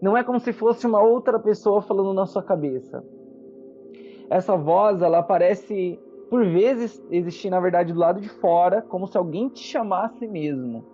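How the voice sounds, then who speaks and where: A man's voice: high-pitched, lively intonation, moderate pace, warm tone, lo-fi sound. A man with a Brazilian accent narrating a tabletop fantasy game as game master, in an explanatory storytelling manner. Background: background music.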